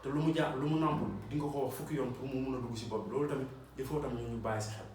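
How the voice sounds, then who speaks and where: A man's voice: mid-range voice, fairly even intonation, racing, darkish tone, slight background noise. A man with a Senegalese accent, an electrician giving an earnest motivational talk to his audience and repeating his points for emphasis. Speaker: electrician and channel host. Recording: roomy echo, distant mic, faint ambience.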